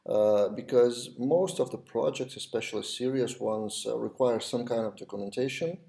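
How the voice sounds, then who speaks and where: A man's voice: mid-range voice, normal speed, creaky, warm tone. A man in his thirties from Lithuania with a Lithuanian accent, talking casually to a webcam in a small office, with frequent fillers and restarts. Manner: hesitant, many restarts and fillers. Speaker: web developer vlogger. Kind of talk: casual talk to camera.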